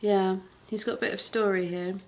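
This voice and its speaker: A woman's voice: mid-range voice, fairly even intonation, moderate pace. A woman talking casually as a participant in a documentary. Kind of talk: casual chat. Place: home conservatory.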